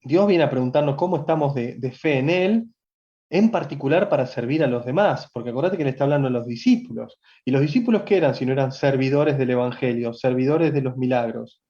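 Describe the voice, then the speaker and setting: A man's voice: mid-range voice, brisk, warm tone. Rioplatense man preaching, muffled audio. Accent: Rioplatense Spanish. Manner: conversational, addresses listeners directly, with jokes. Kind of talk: livestreamed Bible teaching.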